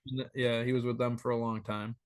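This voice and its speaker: A man in his thirties joining a podcast over a remote call as a guest, talking in an animated, rambling way and swearing freely. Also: mid-range voice, quick pace, dry recording. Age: 30s. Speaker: band member guest. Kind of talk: casual interview chat.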